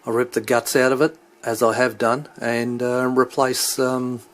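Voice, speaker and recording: A middle-aged man giving an unscripted hobby demonstration, hesitant and halting as he searches for words. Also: medium pitch, level tone. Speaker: CB radio hobbyist demonstrating his equipment. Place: indoors at home beside radio equipment. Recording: clearly noisy home recording with radio static.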